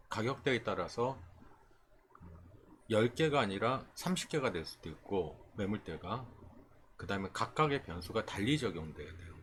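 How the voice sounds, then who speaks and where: A man with a fairly deep voice gives an informal tutorial over noticeable background noise. His intonation moves up and down a lot, and he explains step by step, often breaking off mid-phrase before going on. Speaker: stock trading instructor. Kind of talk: informal screen tutorial, explaining chart settings.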